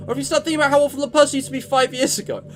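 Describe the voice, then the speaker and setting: A man's voice: extremely high pitch, little pitch movement, very fast, pitched above the performer's own voice. A man reading aloud the lines of a caring young female game character, in his plain read-aloud voice. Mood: reassuring. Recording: game music behind.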